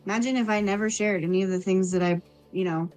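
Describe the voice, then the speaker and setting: A woman's voice: medium pitch, fairly even intonation, fast talker, darkish tone, soft background music, natural voice, poor audio. A woman playing a caring, thoughtful village woman in a tabletop fantasy game, speaking hesitantly. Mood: thoughtful, uneasy.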